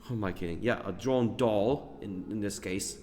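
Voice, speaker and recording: A man's voice: lowish voice, normal speed, mellow. A man giving casual gameplay commentary as a streamer, rambling with many fillers and chuckles. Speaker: gaming streamer.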